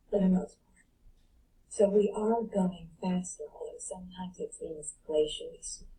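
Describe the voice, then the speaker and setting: Woman guest coach: very dark, mellow voice, emphatic and repetitive, heard from a distance on the mic. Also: fairly low pitch, vocal fry. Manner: emphatic, builds points by repetition. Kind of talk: reflective spiritual talk. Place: online live audio room.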